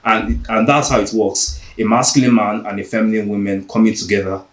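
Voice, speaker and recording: A man's voice: on the low side, little pitch movement, a little fast, echoey room, rough recording. A man from Nigeria, hosting a podcast and earnestly pressing his point in a heated debate. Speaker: podcast host.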